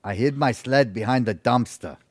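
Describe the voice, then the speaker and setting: A man playing a shady, no-nonsense tattoo artist in an audio comedy, deadpan and businesslike. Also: medium pitch, moderate pace, noisy background, lightly acted.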